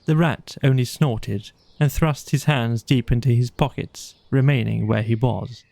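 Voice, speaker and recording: A man's voice: mid-range voice, steady tone, a little slow, vocal fry, mellow, no echo. A man reading scripted narration for a children's bedtime audiobook.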